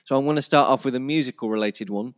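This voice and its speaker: A man's voice: mid-range voice, quick pace, no room sound. Yorkshire man hosting, close and dry sound. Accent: Yorkshire English. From Yorkshire, England. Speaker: podcast host. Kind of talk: casual hosted chat.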